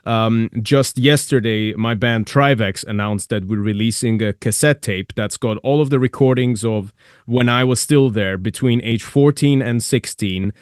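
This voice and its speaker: A man's voice: medium pitch, a little slow, darkish tone, clean tone, no echo. Male podcast host, dry close sound. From Iran. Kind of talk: casual interview talk.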